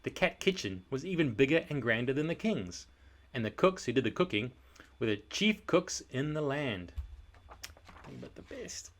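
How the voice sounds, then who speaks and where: A man narrating a children's storybook aloud in an expressive, scripted style, with dramatic, deliberate emphasis. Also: mid-range voice.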